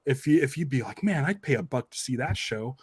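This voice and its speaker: A man's voice: medium pitch, lively intonation, brisk, husky. A man co-hosting a podcast, chatting casually with an informal, dryly joking manner. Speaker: podcast co-host. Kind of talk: casual chat.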